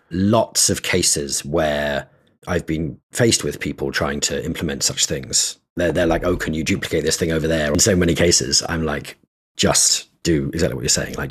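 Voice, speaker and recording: A man with a very low, husky voice chats casually as a podcast co-host. He thinks aloud, pausing and restarting with plenty of ums and you-knows. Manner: hesitant, filler-heavy, thoughtful rambling.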